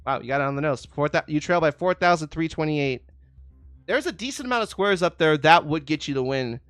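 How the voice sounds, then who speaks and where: A man hosts a game show with big announcer patter, his voice a little congested from a cold. His tone sits fairly high for a man and comes across smooth and clear. The sound is dry and close, with no room around it. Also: lively intonation, brisk, no echo, soft background music. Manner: showy announcer patter, calls out totals and ladies and gentlemen. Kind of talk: hosting an online game show.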